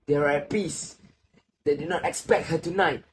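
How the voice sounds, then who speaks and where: A sorrowful old grandfather in a stage drama, acted by a man. His voice sits a little high and moves slowly and solemnly through reflective, literary lines. There is faint noise behind him. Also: steady tone, natural voice. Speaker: student actor. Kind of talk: literary stage play acting.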